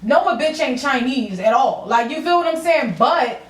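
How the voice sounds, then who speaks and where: High-voiced Philadelphia woman in a roomy space. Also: lively intonation, normal speed. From Philadelphia, USA. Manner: blunt, streetwise, heavy swearing and slang. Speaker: podcast host and rapper. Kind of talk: casual podcast chat. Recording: roomy echo, distant mic, faint noise.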